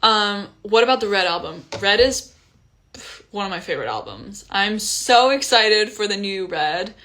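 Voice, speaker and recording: A young woman from Iceland, a singer-songwriter chatting casually on a livestream from home, relaxed with frequent ums. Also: mid-range voice, normal speed. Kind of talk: casual livestream chat between songs. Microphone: phone livestream. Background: guitar playing.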